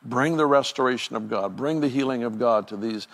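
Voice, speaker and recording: Gravelly earnest man, reflective pastor. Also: mid-range voice, fairly quick, a bit bright. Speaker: pastor interviewing a guest. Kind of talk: conversational ministry interview.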